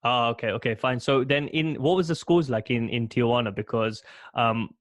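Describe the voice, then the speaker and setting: A man's voice: medium pitch, moderate pace, dry recording. A man in his thirties hosting a podcast, asking thoughtful questions with stammering restarts into a close studio mic. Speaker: podcast host. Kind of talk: interview questions. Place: small room at home.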